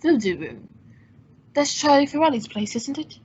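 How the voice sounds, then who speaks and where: A woman playing a suave, wry gentleman sleuth, polished and teasing, in an old-time radio detective drama. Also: high voice, sing-song, moderate pace, muffled recording, a little hiss or hum. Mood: wry, persuasive.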